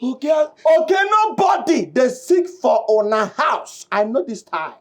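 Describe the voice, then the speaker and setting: A man's voice: very high-pitched, measured pace, exaggerated voice. A man with a Nigerian Pidgin accent, playing a desperate man who begs for help again and again. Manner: pleading, repetitive, animated.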